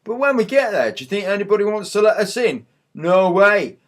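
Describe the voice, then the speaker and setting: A man's voice: high-pitched, sing-song, a little fast. A man playing an aggrieved, down-on-his-luck young husband-to-be, grumbling chattily in a mock news interview. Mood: indignant, disgusted. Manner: chatty, grumbling, rhetorical questions.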